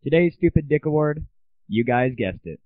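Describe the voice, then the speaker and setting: A man's voice: a little high, animated, moderate pace, dry recording, faint music behind. A young man hosting a comic internet audio show with a sarcastic, cocky delivery. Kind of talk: scripted comic monologue. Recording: tinny, band-limited lo-fi audio.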